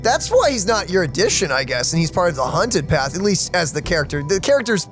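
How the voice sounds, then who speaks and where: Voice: medium pitch, sing-song, brisk. A gaming host chatting casually through a playthrough, informal and thinking aloud. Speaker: let's play host. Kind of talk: casual gameplay commentary and chat. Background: faint game music.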